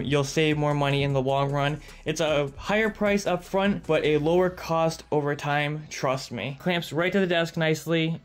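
Higher-pitched man touring his gear. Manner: upbeat, chatty, enthusiastic about gear. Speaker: streamer and video creator. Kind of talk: casual setup tour. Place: home streaming room, slightly echoey. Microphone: studio dynamic mic on arm.

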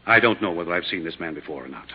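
A husky male doctor snaps out his words quickly in a haughty, defensive way. He is belligerent and easily affronted, in the manner of 1950s radio drama, on a dull old recording. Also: low voice, lively intonation. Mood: defensive, flustered.

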